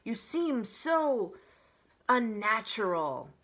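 A woman's voice: a little high, very animated, drawn-out words, fairly bright tone, voice pushed up, exaggerated voice. A woman voicing an alarmed, hostile owl with a screechy, accusing delivery in a children's storybook. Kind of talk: children's book read-aloud.

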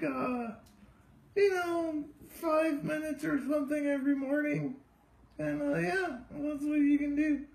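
Someone putting on a high, gravelly, smug female cat voice, slow drawl, phone mic in an echoey kitchen. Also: exaggerated voice. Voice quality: raspy, gravelly. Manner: slow, drawling, full of herself. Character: vain sassy female house cat. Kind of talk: live-stream pet narration. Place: home kitchen, indoors. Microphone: phone camera held by the speaker.

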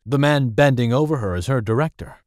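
An actor playing a genteel millionaire host with a formal, ceremonious manner in an audiobook drama. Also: male voice, mid-range voice, brisk, dry recording, clean studio sound, close to an everyday voice. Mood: proudly hospitable.

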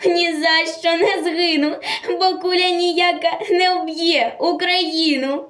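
Defiant young partisan girl, high and echoey woman reciting. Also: expressive, slow pace. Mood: defiant, vengeful. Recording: echoey room, distant microphone.

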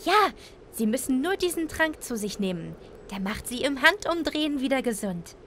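A woman's voice: high-pitched, big pitch swings, moderate pace, a bit bright, slight background noise, exaggerated voice. A woman voicing a kind, cheerful little cloud in a children's bedtime audio play. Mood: tender, glad.

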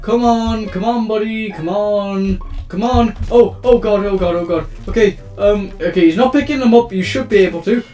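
An excitable young man talks over his gameplay in a high, light voice, rambling and breaking into panicked exclamations. His mic sits a little far off in a roomy space, and soft guitar music plays underneath. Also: moderate pace. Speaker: gaming YouTuber. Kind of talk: casual gameplay commentary.

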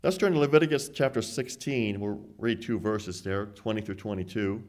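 A middle-aged man giving a short church sermon at a lectern, reading scripture aloud slowly and stressing key words. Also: lowish voice, a little mellow. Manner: earnest, emphatic, slows down to read scripture aloud. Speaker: church speaker. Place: church hall, standing at a lectern. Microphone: lectern microphone.

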